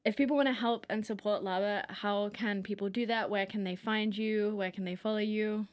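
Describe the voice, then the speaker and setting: A woman from England co-hosting a cycling podcast, briskly running through the questions. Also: mid-range voice, fairly even intonation, no room sound, clean studio sound. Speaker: podcast co-host.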